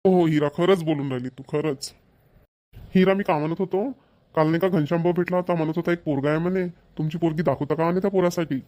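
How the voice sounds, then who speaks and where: Grumbling village husband, sarcastic, somewhat high voice, voiced by a man. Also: fairly even intonation, fast talker, warm tone, lightly acted. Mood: wry. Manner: sarcastic, muttering asides. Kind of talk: cartoon voice acting.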